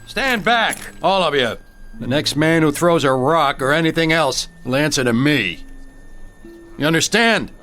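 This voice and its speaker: An actor playing a firm small-town sheriff in a radio drama, blunt and full of authority. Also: male voice, a little high, expressive, a little slow, bright, forceful tone, faint music behind. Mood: commanding.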